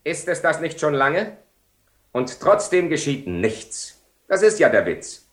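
An actor playing Don Juan, a proud, mocking and world-weary seducer, with ironic, declamatory delivery in a radio play. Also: male voice, on the high side, sing-song, slow. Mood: mocking, ceremonious. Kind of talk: German radio play acting. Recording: roomy echoing 1970 radio studio.

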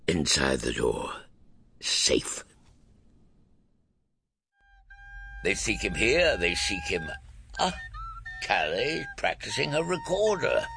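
An actor playing a fussy, bumbling, self-important older grandfather in a radio comedy drama, with a gravelly, husky voice and a hesitant, self-justifying manner. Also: fairly low pitch, wildly swooping pitch, takes it slowly, pauses often, sharp tone, soft background music, heavily acted. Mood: grandly pleased. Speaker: actor, lead and narrator.

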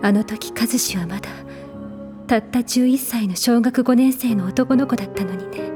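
A woman plays a caring older sister weighed down by regret. Her fairly high voice is soft and reflective, acted in audio drama style over clear music. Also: normal speed, lightly acted. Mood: regretful, worried. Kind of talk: audio drama monologue in dialogue.

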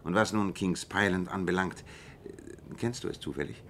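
A man playing a keen, analytical gentleman detective in a German radio play, speaking in a precise, articulate, lecturing manner. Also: sits low, normal speed, a little hiss or hum. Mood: matter-of-fact, explanatory.

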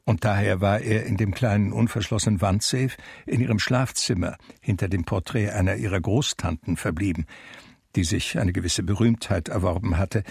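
An actor playing a wistful blind pianist who narrates his life in a radio drama, measured and reflective, with a gravelly voice. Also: fairly low pitch, darkish tone, dry recording, clean studio sound. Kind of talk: literary first-person radio drama narration.

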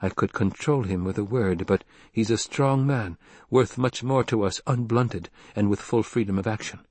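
A man's voice: fairly low pitch, moderate pace, darkish tone, husky, no echo. A man playing a proud, calculating mother in an audiobook, with controlled and formal speech. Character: composed, calculating, proud mother of a noble boy.

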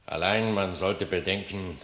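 Man playing a deep, slow animal king. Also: a bit bright. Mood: grave deliberation. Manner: slow, measured, regal. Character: grave animal king confiding in a trusted courtier. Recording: roomy, echoing sound.

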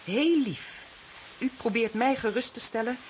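An actress playing a prim, anxious and stubbornly convinced aunt in a crime drama, speaking earnestly, emphatically and haltingly. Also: female voice, on the high side, lightly acted, rough recording. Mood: distressed, insistent. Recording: hissy recording, faint noise.

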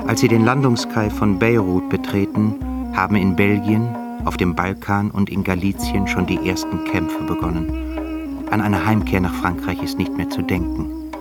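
A man with a very low voice narrates a radio drama. He reads scripted literary prose. Music plays loudly behind him. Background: loud music.

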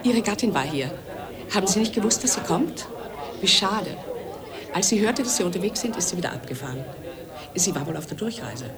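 An actress playing a polite, chatty hotel receptionist in a radio drama, with a courteous, solicitous manner. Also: female voice, mid-range voice, lively intonation, a little fast, long pauses, a crowd in the background, lightly acted.

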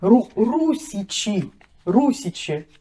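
A woman's voice: mid-range voice, very animated, slow, mellow. A woman taking part in a radio discussion, speaking in an emotional, exclamatory way.

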